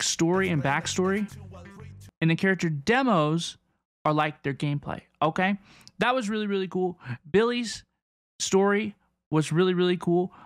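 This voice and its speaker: A woman's voice: lowish voice, no room sound. Gushing woman reacting over music. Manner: gushing, easily amazed. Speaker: host of a reaction video. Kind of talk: casual live reaction commentary. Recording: music behind the voice. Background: game trailer music and dialogue.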